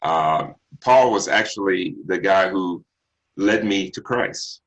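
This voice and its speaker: Deep bass baritone guy from texas, middle-aged singer, thinks out loud with lots of ums. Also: dull audio. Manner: unhurried, reflective, frequent ums and restarts. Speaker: singer guest. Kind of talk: interview answers.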